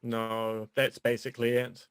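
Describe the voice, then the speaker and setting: A middle-aged man co-hosting a podcast in casual chat, wry and deadpan in his jokes. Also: medium pitch, measured pace, smooth, no room sound. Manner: dry, wry joking. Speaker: podcast co-host.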